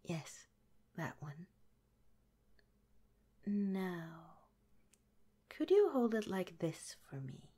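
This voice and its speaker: Woman doing a kind head scientist lady, whispering soft and super slow, asmr roleplay. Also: fairly low pitch, very animated, husky, exaggerated voice. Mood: gentle whisper. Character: gentle caring female head scientist of secret lab.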